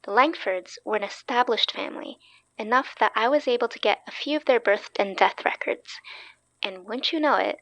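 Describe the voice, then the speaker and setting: A high-voiced, keen researcher. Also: female voice, normal speed, fairly bright tone, dry recording, muffled recording. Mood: eager, wry. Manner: casual, wry, explanatory. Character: keen, wry young woman researcher. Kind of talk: naturalistic horror audio drama dialogue.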